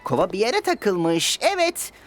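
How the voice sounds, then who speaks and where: A woman voicing a boastful, clumsy, excitable crow in a children's cartoon, talking pompously like a theatrical superhero. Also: medium pitch, unhurried, soft background music. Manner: pompous self-narration in third person, theatrical.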